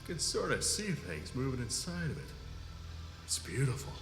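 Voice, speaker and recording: An actor playing a dutiful, loyal security chief in a sci-fi audio drama, formal, deferential and hesitant. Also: male voice, mid-range voice, big pitch swings, moderate pace, vocal fry, over music. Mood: uncertain. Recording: echoey hall, faint background noise.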